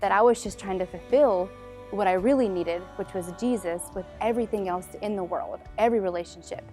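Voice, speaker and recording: Early 20s girl telling her story, expressive, says like a lot, light music behind. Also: mid-range voice, fairly quick. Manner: expressive, uses "like" often. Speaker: church member giving testimony. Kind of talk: informal personal testimony.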